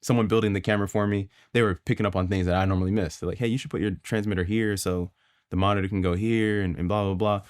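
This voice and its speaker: A young man from Florida, a guest videographer telling his story in a casual podcast chat, relaxed and filler-heavy. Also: lowish voice, quick pace, no echo, clean studio sound.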